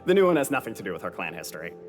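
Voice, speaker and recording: A man's voice: low-pitched, very animated, racing, echoey room, big-room sound. A man voicing a bossy, pompous clan leader creature who fancies himself a poet, in a video game. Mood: explanatory. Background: prominent background music.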